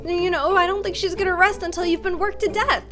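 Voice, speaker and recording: A woman hosting a game roleplay, talking in a dramatic, whiny, animated way into a desk microphone. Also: extremely high pitch, brisk. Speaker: roleplay gamer host. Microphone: desk microphone with webcam. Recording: music playing behind.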